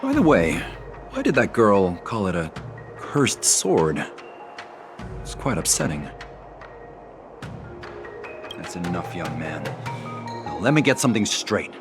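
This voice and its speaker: A man's voice: low voice, wildly swooping pitch, takes it slowly, long pauses, full character voice. An actor playing an earnest, talkative man in a video game, speaking with dignified, emphatic storytelling. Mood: indignant. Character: earnest, talkative man proudly defending a revered sword. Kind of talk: video game dialogue acting. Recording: music behind the voice.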